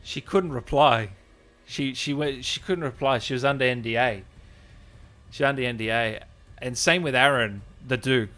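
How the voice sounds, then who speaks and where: A man's voice: mid-range voice, moderate pace, sharp tone. He is a male livestream host with a mid-range voice. He chats casually to his audience as if to friends, in an informal, chummy style. The delivery is unscripted and conversational. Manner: chummy, informal, addressing viewers as guys and brother. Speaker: host of a gaming channel livestream. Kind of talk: casual livestream chat to viewers.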